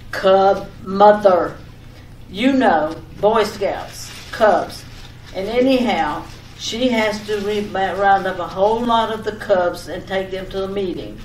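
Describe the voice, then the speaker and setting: An echoey, distant recording with faint noise carries an actress as an insistent wife from an old radio comedy. She rambles breezily through her explanations at a middle pitch, coaxing with mild exasperation. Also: takes it slowly, a little mellow, close to an everyday voice. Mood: insistent, over-explaining. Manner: chattering, coaxing, over-explaining. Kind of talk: old-time radio domestic comedy acting.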